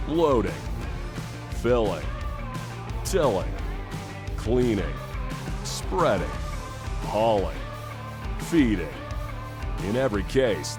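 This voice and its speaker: A man narrating a scripted commercial voice-over with a punchy, emphatic delivery. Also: on the low side. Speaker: promo narrator.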